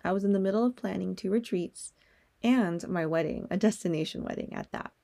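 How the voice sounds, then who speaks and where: A woman's voice: mid-range voice, fairly quick, gravelly, dark tone. A young woman hosting a solo podcast, talking in a candid, personal way and swearing now and then. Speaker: podcast host.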